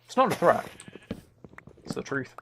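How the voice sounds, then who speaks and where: A man's voice: medium pitch, big pitch swings, unhurried, fairly bright tone. A man chatting casually to viewers as a live game streamer, with a dry, joking, mock-serious manner. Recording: clear noise, keyboard and mouse clicks.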